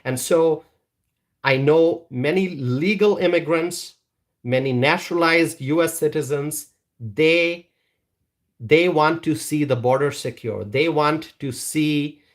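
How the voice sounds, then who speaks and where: A man's voice: on the high side, slow. Earnest man chatting to viewers. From Bangladesh. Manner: earnest, repeats words, many ums and uhs. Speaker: YouTube channel host. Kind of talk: casual livestream chat reading viewer comments. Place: Wisconsin.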